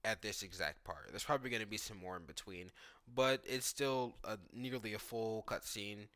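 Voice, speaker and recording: Man, gaming YouTuber, slightly low pitch, bright forceful tone, informal reaction commentary, thinking aloud. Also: normal speed. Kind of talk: casual reaction commentary on game footage.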